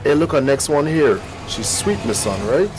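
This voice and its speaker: A man's voice: fairly high pitch, very animated, normal speed, over music, rough recording. A man with a Caribbean accent playing a sly, coaxing teenage boy in a radio drama. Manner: coaxing, conspiratorial. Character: sly older teenage boy grooming a younger child.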